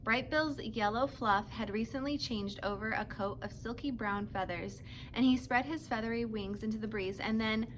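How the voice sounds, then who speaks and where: A woman's voice: a little high, normal speed, fairly bright tone, a little hiss or hum, low-quality recording. A woman narrating a children's book as a read-aloud, telling the story to young listeners. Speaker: storybook reader.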